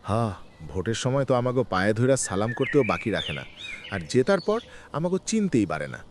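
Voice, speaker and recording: Male actor as aggrieved elderly villager, rural Bangladeshi accent, animated plaintive delivery, faint noise behind. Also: medium pitch, a little fast, lightly acted. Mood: aggrieved, weary. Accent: rural Bangladeshi Bengali. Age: older. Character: weary, aggrieved elderly village man lamenting hard times. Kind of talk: cartoon village drama dialogue. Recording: faint background noise with clicks.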